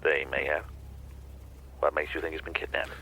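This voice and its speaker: An actor playing a guarded private detective in a radio drama, giving terse, cautious answers. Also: male voice, a bass voice, flat delivery, normal speed, bright, forceful tone, heavily acted, poor audio. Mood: guarded, suspicious.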